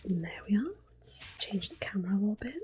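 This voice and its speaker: A woman giving hushed, gentle, soft-spoken ASMR commentary as she plays a video game. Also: medium pitch, lively intonation, quick pace, a little hiss or hum. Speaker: ASMR gaming host. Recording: soft music in the background. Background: quiet game music.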